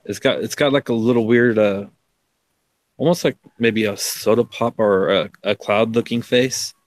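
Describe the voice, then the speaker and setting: Guy guesting on a stream, lowish voice, halting casual chat, kinda self-deprecating, super dry audio. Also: dry recording. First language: English. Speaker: livestream guest.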